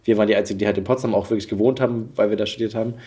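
A man's voice: on the low side, steady tone, racing, dark tone. A man from Saxony in eastern Germany hosting a podcast, chatting casually and telling stories with a ready chuckle. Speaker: podcast host. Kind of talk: casual chat.

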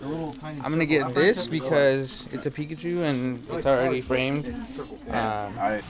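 A man's voice: medium pitch, unhurried, crowd noise. A young man in his twenties filming a casual vlog on an arm's-length action camera, joking around and swearing as he walks through town. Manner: joking, swears, laughs a lot. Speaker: vlogger. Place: outdoor streets and shops in a small town. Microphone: action camera held at arm's length.

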